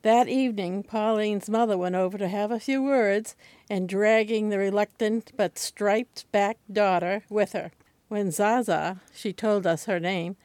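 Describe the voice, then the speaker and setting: Older woman, Boston accent, wry measured reading, mid pitch, dry recording. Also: a little mellow, no room sound. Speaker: senior center reader. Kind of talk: reading a personal essay aloud.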